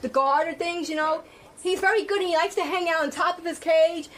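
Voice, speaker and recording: A middle-aged woman, a loud, fast-talking radio guest who is blunt and swears freely. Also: very high voice, fairly even intonation, slight background noise. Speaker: guest, internet pet show host. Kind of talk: casual radio chat.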